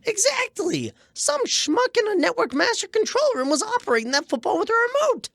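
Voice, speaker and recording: Shady talking rat for radio comedy: very high, wildly swooping, cocksure and wheedling. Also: male voice, very animated, a little fast, vocal fry, a bit bright, no room sound, clean studio sound, full character voice. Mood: insistent, cocksure. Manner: folksy, wheedling, insistent. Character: shady, chatty rat con artist, cocksure schemer.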